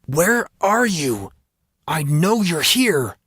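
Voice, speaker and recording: A man's voice: fairly high pitch, very animated, drawn-out words, fairly bright tone, slightly rough, dry recording, studio quality, voice pushed up, full character voice. A man voicing an angry, defiant teenage boy hero in a fantasy audiobook. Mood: angry, demanding.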